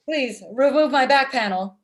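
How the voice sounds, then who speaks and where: A woman's voice: high-pitched, normal speed, natural voice. Woman acting a blunt, stilted robot matchmaker. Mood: smitten. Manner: stilted, uncontracted, machine-like phrasing. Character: blunt, lovestruck robot matchmaker with literal speech. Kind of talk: table read of comedy script. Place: indoors on a video call.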